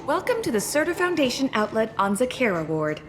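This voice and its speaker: A woman's voice: fairly high pitch, very animated, a little fast. A woman playing a polite, chipper shop greeter in a video game with courteous customer-service delivery.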